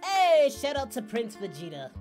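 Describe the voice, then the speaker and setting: A performer voicing an excitable male cartoon martial-arts hero who reacts to videos in a theatrical, exclamatory way. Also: high-pitched, normal speed, faint music behind. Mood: fond, upbeat. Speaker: host of a reaction channel. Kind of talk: reaction commentary, impression of an anime character.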